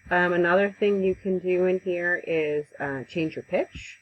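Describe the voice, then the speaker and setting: A woman giving a casual, conversational software tutorial over a headset mic, with frequent ums. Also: on the low side, steady tone, unhurried, lo-fi sound, slight background noise. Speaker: instructor. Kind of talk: screen-recorded software tutorial.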